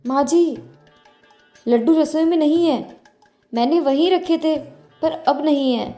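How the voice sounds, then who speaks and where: Storybook role: meek young daughter-in-law played by a woman, plaintive and deferential, high pitch, soft dark tone. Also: a little slow. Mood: deferential, anxious. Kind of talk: moral storybook dialogue. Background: faint music.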